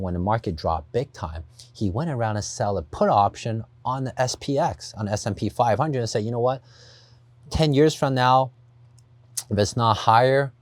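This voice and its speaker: A man's voice: lowish voice, no room sound. A man who grew up in Taiwan, a podcast guest earnestly explaining his investing approach, often adding "you know" and "right?". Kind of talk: podcast interview.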